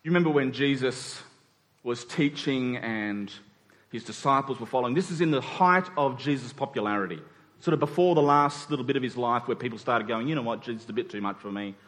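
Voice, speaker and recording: A man's voice: medium pitch, a little fast, a bit bright. A man preaching a conversational sermon in an informal way, acting out dialogue in everyday words. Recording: long hall reverb.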